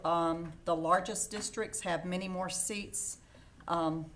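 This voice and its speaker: A woman giving a work briefing as a program director on a conference call. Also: fairly low pitch. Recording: conference audio with clear background noise.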